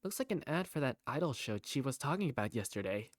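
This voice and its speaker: A woman plays an easygoing young visual novel protagonist in a fan dub. Her voice sits low for a woman and moves animatedly, with a casual, wry, teasing delivery. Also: big pitch swings, quick pace, a little hiss or hum. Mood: laid-back. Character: easygoing young protagonist narrating everyday life, wry and playful. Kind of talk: visual novel fandub narration and dialogue.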